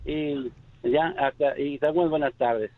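A male caller with a fairly high voice rambles and repeats himself as he makes his point. He comes through a tinny, dry telephone line. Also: slow pace, no room sound. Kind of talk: call-in comment.

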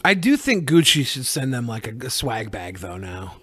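A man's voice: medium pitch, very animated, normal speed, pushed and bright, breathy edge, no room sound. A man co-hosting a podcast with casual, crude comedic banter, blunt and full of swearing. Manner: profane, blunt, joking. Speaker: podcast co-host.

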